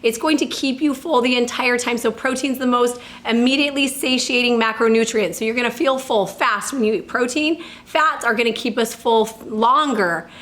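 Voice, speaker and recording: A woman in her thirties, a fitness coach giving casual tips to the camera, upbeat and chatty, with a slightly hoarse voice from allergies. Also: fairly high pitch, normal speed, hardly any pauses, a bit bright. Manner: upbeat and chatty, with laughs and self-corrections.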